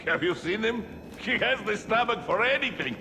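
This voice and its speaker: A man playing a scornful, gossiping gangster henchman in a video game, with a sardonic, drawn-out delivery over loud background noise. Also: high voice, animated. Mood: amused mockery. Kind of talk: video game cutscene acting. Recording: loud ambient noise behind.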